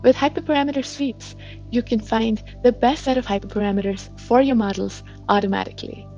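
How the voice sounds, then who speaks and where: A woman reading a scripted sponsor ad in a smooth, rehearsed way. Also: on the high side, fairly quick, highs cut off. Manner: smooth, even, rehearsed delivery. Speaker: sponsor ad reader. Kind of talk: scripted ad read. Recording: distant voice over background music.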